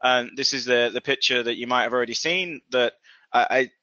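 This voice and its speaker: A man's voice: mid-range voice, brisk, pushed and bright, dry recording, highs cut off. A man in his thirties with a Yorkshire accent, presenting casually as a guest on a web call, chatty and self-deprecating. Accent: Yorkshire English. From Yorkshire, England. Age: 30s.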